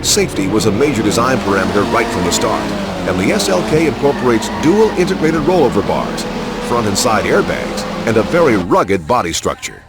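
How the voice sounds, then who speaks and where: A man's voice: fairly low pitch, very animated, fairly quick. A man reading polished, scripted promotional narration in an upbeat, salesman-like way. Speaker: narrator of car showcases in a video game. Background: music.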